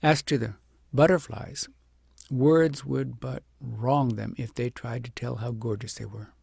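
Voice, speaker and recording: A man with a gravelly, husky voice narrating a storybook in a measured, deliberate and expressive way. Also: mid-range voice, no echo, studio quality. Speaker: audiobook narrator.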